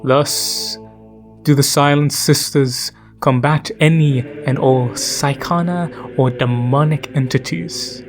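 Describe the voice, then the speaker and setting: A man delivering dramatic scripted lore narration, grave and portentous, in archaic oratorical phrasing with dramatic pauses. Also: a little high, fairly even intonation. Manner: grave, theatrical, portentous, addressing the listener as traveler. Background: background music.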